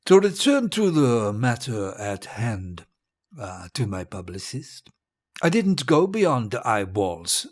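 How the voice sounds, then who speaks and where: An actor playing a cruel, vain old dictator in an audio drama, speaking in a grandiose, rambling way with a husky voice. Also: male voice, mid-range voice. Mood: irritated, imperious. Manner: grandiose, rambling, imperious, halting. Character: cruel, vain old dictator giving his dying speech.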